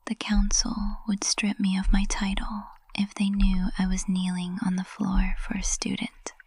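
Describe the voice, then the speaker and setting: Woman doing a smitten witch teacher for asmr roleplay, super breathy whisper, tender and slow, bit of crackle in it. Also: medium pitch, monotone, darkish tone, no echo. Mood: intense devotion. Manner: slow, tender, intimate delivery. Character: lovestruck high witch professor, usually cold and composed, tender. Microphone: close microphone.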